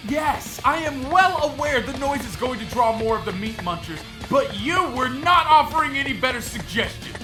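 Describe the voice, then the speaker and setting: An actor playing a frantic, sarcastic survivor who talks exasperatedly to himself in an audio drama. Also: male voice, extremely high pitch, lively intonation, normal speed, hardly any pauses. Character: frantic, sarcastic male survivor hearing voices. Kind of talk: dramatised comic voice acting. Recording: loud music under the voice.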